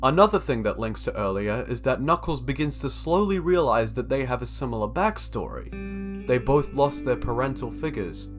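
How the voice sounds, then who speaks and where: A young man narrates a scripted video essay. His medium-pitched voice moves up and down in a lively way, with music playing behind him. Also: moderate pace.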